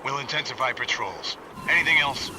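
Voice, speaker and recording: An actor playing a cool, commanding villain in a video game cutscene, speaking in a clipped, controlled way. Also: male voice, medium pitch, expressive, a little fast, close to an everyday voice. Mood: cool, suspicious. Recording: distant sound, noisy background.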